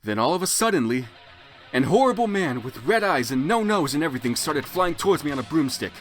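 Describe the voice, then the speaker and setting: Theatrical male narrator with lively pitch. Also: a little fast, soft background music. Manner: theatrical, exaggerated. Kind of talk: dramatic comic reading of fan fiction.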